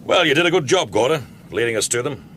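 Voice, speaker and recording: An actor playing a senior police chief in a radio drama, brisk and genially condescending. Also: male voice, mid-range voice, lively intonation, fairly bright tone, slight background noise, lightly acted. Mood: brisk, approving.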